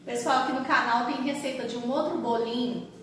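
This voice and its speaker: A woman's voice: fairly high pitch, a little fast, recorded from a distance, slight background noise. Chatty Brazilian woman in echoey kitchen. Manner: chatty, talks to viewers while frying, laughs often. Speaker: cooking channel host. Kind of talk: casual home cooking vlog. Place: small home kitchen. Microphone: camera across the kitchen.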